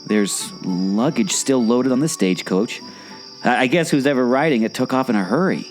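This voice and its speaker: A man's voice: mid-range voice, normal speed, soft background music, close to an everyday voice, low-quality recording. A man playing a naive, curious sidekick with a taste for corny jokes in a scripted audio drama. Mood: puzzled. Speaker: curious companion.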